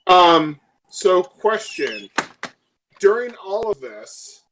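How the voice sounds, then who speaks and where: A man with a slightly high voice talks casually as a player at a tabletop game. He thinks aloud, breaking his sentences up as he goes. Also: big pitch swings, drawn-out words, background noise.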